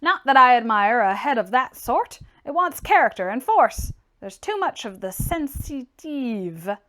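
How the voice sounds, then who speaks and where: A blunt, mocking young gentleman of trade from a classic novel, acted out by a woman reader. The pitch sits fairly high and swings with great animation. He is opinionated and brusque, throwing out scornful exclamations with emphasis. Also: big pitch swings, a little slow, full character voice. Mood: opinionated, dismissive. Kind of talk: dramatized audiobook character reading.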